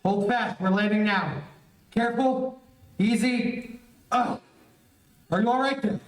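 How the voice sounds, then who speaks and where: A man's voice: high voice, measured pace. A man playing a brave, protective young athletic hero in an old-time radio serial, earnest and commanding. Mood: reassuring, urgent. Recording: echoey stage, distant mic, live stream.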